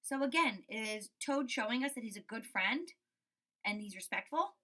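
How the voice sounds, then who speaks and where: A woman teacher reading a story aloud to children and commenting on it, expressive, often checking in with her listeners. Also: fairly high pitch, normal speed. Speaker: school teacher.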